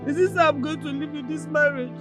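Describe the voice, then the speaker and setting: A woman's voice: high-pitched, quick pace, over music, natural voice. A woman with a Nigerian accent acting an aggrieved, tearful young bride in a film drama. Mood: indignant, tearful.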